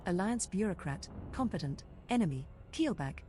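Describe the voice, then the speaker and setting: A woman's voice: mid-range voice, animated, normal speed, mellow, lightly acted. Woman voicing a composed, clipped spaceship computer. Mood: matter-of-fact. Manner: clipped, matter-of-fact announcements. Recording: faint background noise.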